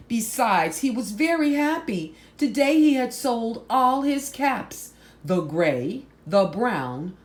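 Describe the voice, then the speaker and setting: A woman reading a picture book aloud to children, phrasing deliberately and stressing words for drama. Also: lowish voice, darkish tone. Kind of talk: slow, expressive picture-book read-aloud.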